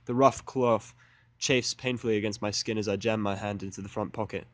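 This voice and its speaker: A man's voice: fairly low pitch, fairly even intonation, fairly quick, no echo. A man reading scripted first-person fan fiction as the narrator of an audio drama.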